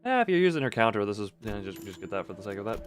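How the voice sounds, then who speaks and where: A man's voice: lowish voice, sing-song, racing. A man giving casual, playful live-stream gameplay commentary, rambling and muttering asides to himself.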